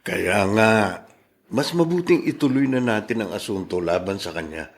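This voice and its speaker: A man's voice: fairly low pitch, expressive, normal speed. A man playing a concerned, determined ally in a radio drama, speaking with urgency. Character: Jason, concerned, determined ally of a worried mother. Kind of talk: Filipino radio drama acting.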